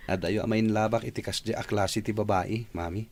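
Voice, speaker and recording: A man's voice: fairly low pitch, steady tone, moderate pace, darkish tone. A man playing a grumbling young son in radio drama, with an Ilocano accent. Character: young man grumbling to his mother about a snobby woman.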